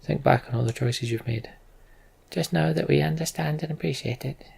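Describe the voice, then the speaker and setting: A man voicing a warm, teasing mother and archer, earnest and gently amused, in a story game. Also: on the high side, moderate pace, creaky, husky, full character voice. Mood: warm, reflective.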